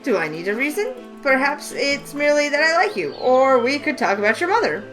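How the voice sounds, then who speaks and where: A woman's voice: high-pitched, a little fast, voice pushed up, low-quality recording. A woman voicing a wise, teasing older witch who runs a shop, in a game read-through over faint music. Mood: knowing. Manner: teasing, knowing and calm. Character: wise sly older female witch shopkeeper. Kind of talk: voice acting a visual novel.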